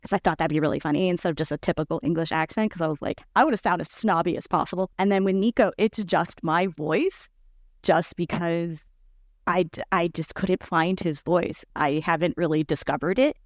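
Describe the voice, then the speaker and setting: Squeaky, animated woman guest, low-ish speaking voice, dry close sound. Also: fairly quick, no echo. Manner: animated, jumps high in pitch when quoting herself. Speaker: podcast guest. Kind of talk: casual chat.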